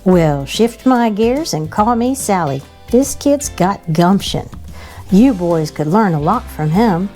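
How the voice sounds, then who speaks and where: A big-hearted champion monster truck from a children's story, acted by a woman. He talks in a folksy, admiring way, slow and mellow. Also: mid-range voice, lively intonation, faint music behind. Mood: impressed.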